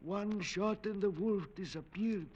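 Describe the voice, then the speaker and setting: An actor playing an old, superstitious village game warden who tells tales solemnly in 1940s radio drama style. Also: male voice, high voice, a little slow, darkish tone. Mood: ominous storytelling. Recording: dull vintage radio recording.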